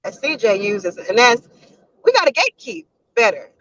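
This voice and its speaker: A woman co-hosting a casual talk show, asking halting, searching questions. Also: on the high side, huge pitch swings, fairly bright tone, a little hiss or hum. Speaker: podcast co-host. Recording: dull lo-fi sound.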